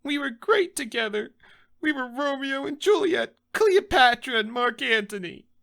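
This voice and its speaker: A man voicing a panicky, excitable young male defendant in a game script, whiny and melodramatic. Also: very high-pitched, measured pace, voice pushed up, heavily acted. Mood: indignant, dramatic.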